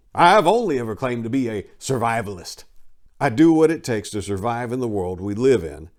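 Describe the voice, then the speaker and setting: A man with a fairly low voice reads from a memoir. He treats it as a dramatic reading, delivering the text in an emphatic, declamatory way. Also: darkish tone. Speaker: reader of a memoir.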